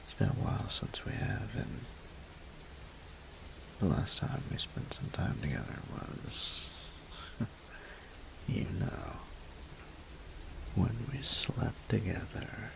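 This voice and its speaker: Low gravelly murmuring man playing a teasing roommate. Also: very animated, background noise, heavily acted. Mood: tired, fond. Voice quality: low, gravelly, breathy half-whisper. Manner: playful, unhurried, murmured delivery. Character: teasing, affectionate young male roommate and gamer. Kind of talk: intimate audio roleplay acting.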